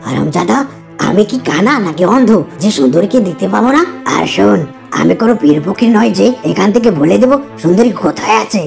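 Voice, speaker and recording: A woman's voice: medium pitch, animated, fairly quick, vocal fry, a little mellow, faint music behind. A woman voicing a short-tempered tigress mother in a cartoon, scolding and sarcastic. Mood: indignant.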